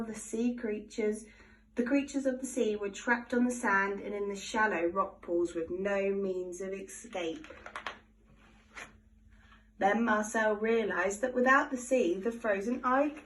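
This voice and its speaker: A woman reading a children's picture book aloud in an expressive, animated storytelling manner. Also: mid-range voice, recorded from a distance, rough recording. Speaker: storybook reader.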